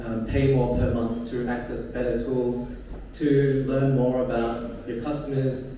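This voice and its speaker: Earnest man pitching in an echoey hall. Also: warm tone. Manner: earnest, rehearsed, a few fillers. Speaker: startup founder pitching. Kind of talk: startup pitch and Q&A answer. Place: event venue. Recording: echoey room, from far off, faint noise.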